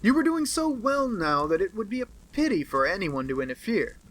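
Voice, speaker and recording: American man doing a sardonic gentleman detective, measured, sarcastic, bright tone, audiobook. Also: sits high, big pitch swings, voice pushed up, full character voice. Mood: dry.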